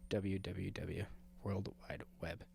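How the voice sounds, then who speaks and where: A man's voice: sits low, level tone, slow, gravelly, a little mellow, slight background noise. A young man co-hosting a podcast in casual chat, joking and swearing loosely. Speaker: podcast co-host.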